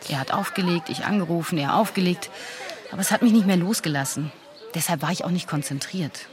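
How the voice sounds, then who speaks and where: A woman's voice: fairly low pitch, brisk, close to an everyday voice. An actress playing a guilt-ridden former teacher in a naturalistic radio drama, direct and questioning in her manner. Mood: troubled, confessional. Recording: busy urban outdoor crowd behind.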